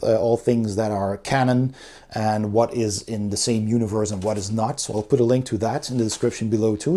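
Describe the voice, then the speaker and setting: Low-voiced, mellow man reviewing games. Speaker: board game reviewer. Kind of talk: casual review walkthrough.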